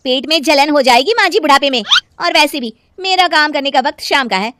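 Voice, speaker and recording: A woman voicing a lazy, defiant young daughter-in-law in an animated story, talking back dismissively. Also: sits high, brisk, background noise.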